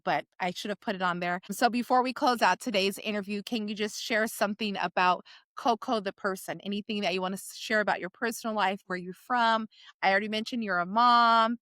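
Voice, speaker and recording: A woman's voice: medium pitch, brisk, fairly bright tone, no room sound, studio quality. A woman from Southern California hosting an interview, upbeat and encouraging toward her guest. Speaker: podcast host.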